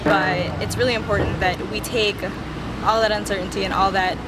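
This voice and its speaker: Woman in her twenties, earnest testimony, mid pitch, street noise. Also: fairly even intonation, brisk. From Philippines. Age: 20s. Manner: earnest, fluent with fillers. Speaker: interviewee, student. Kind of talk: personal testimony. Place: outdoors at a rally. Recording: loud outdoor background noise.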